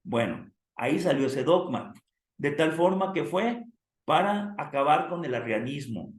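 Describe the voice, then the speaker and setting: Hoarse man lecturing in an echoey room. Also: a little high, lively intonation, measured pace. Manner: asks the class questions and addresses them as "amados". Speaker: theology teacher. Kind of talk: classroom lecture with preacher cadence.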